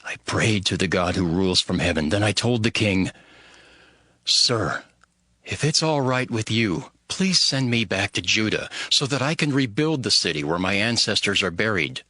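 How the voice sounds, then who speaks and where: A man's voice: on the low side, lively intonation, moderate pace, sharp tone, no room sound, clean studio sound. A man playing a devout, sorrowful Hebrew cupbearer who earnestly narrates his own story in a dramatized audio Bible. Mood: anxious, respectful. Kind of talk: dramatized first-person scripture narration. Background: background music.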